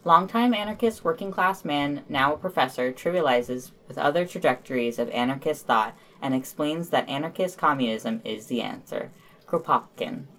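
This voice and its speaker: Female podcast co-host, low-pitched, reading news summaries from a script with casual asides. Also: moderate pace, fairly bright tone, poor audio.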